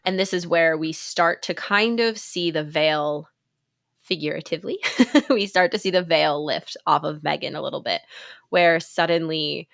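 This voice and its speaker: A woman in her thirties with a stuffy, congested voice chats through a podcast episode, full of fillers and easy laughs. Her voice sits a bit low, and it is recorded close and dry with no room sound. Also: moderate pace, gravelly, fairly bright tone, no echo. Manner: chatty, laughs often, many fillers. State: congested with a cold. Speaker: podcast co-host. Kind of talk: casual podcast chat.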